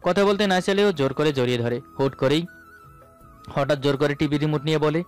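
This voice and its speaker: Male narrator for romantic audio fiction, higher-pitched, first-person scripted read, music bed. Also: fairly quick. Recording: voice over background music.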